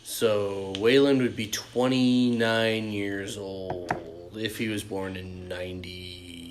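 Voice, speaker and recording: A man's voice: lowish voice, fairly even intonation, slow, noisy background. A man co-hosting a podcast, chatting casually about films in a blunt, contrarian, sweary manner. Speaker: podcast host. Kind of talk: casual film-fan chat.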